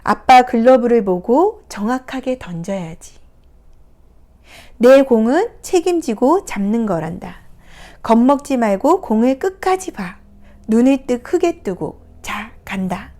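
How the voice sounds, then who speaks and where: A woman counselor speaks in a fairly high voice, telling a story gently and without hurry. She pauses softly now and then, in the calm, reflective tone of a video talk. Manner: gentle, unhurried storytelling with soft hesitations. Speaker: counselor and coach. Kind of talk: reflective talk retelling a picture book. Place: recording her first video talk.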